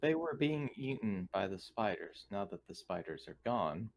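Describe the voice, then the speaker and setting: A man's voice: lowish voice, very animated, normal speed. A man chatting casually as a player in a tabletop game, with some noise behind him.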